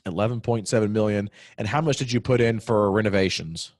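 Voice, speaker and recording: A man in his thirties hosting an interview podcast from a home office on a desk mic, upbeat and quick. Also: on the low side, darkish tone, dry recording, clean studio sound. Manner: upbeat, quick, scripted-sounding intros. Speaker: podcast host. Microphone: desk podcast mic on video call.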